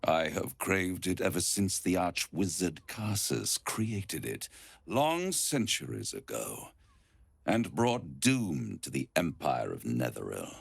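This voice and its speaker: Video game villain: ancient scheming devil, grandiose and suave, low gravelly purr with husky edges. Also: male voice, very animated, no room sound, full character voice. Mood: covetous, grandiose. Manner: silky, grandiose, savours words with dramatic pauses.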